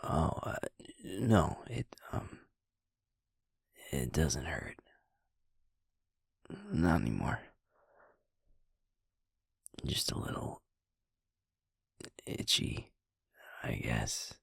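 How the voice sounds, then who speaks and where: A man playing a sweet, shaken young werewolf boyfriend in an intimate audio roleplay, halting and stammering, with a breathy, husky voice. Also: a bass voice, very animated, no echo, in a whisper, studio quality, full character voice. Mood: self-conscious, subdued. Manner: halting, hushed, stammering, sighing.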